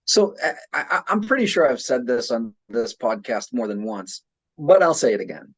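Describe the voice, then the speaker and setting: Man, medium pitch, podcast co-host, casual opinionated book talk, conversational, repeats himself, often seeks agreement. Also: sing-song, moderate pace, breathy edge. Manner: conversational, repeats phrases, checks in with "right?".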